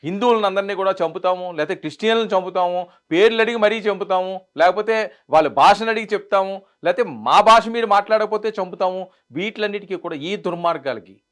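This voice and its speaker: A man delivering news commentary in an emphatic way, repeating phrases for stress. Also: high voice. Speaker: news presenter.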